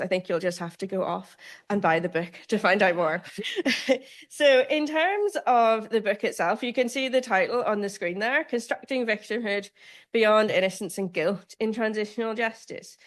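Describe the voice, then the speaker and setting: A middle-aged woman with a Northern Irish accent gives an academic talk. She speaks in a measured, thoughtful way and gently pokes fun at herself. Her pitch sits in the middle for a woman. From Northern Ireland. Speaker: guest speaker, law professor. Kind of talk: academic lecture.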